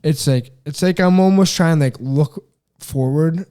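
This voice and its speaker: A man's voice: a little high, a little slow, warm tone. A young man co-hosting a podcast, talking earnestly in a rambling way, full of "like" and "dude". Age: young adult. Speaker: podcast co-host. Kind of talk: casual chat.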